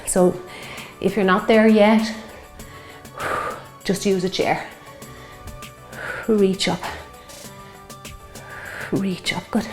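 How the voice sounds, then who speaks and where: Slightly hoarse woman coaching a workout. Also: mid-range voice, steady tone, very slow, pauses often, roomy sound, faint music behind. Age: 50s. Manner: encouraging, counting reps while exercising. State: slightly hoarse from a sore throat. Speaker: fitness instructor. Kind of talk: guided workout instruction.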